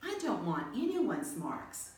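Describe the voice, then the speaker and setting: A woman's voice: medium pitch, huge pitch swings, slow pace. A woman voicing a small, timid, self-doubting wooden puppet man in a storybook, hesitant and questioning. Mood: downcast. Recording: echoey room, far from mic, faint noise.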